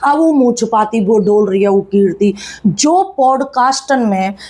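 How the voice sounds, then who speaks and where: A woman's voice: on the high side, animated, a little slow, darkish tone. A woman, giving casual, blunt, chiding gossip commentary to viewers in colloquial speech. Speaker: YouTube commentator.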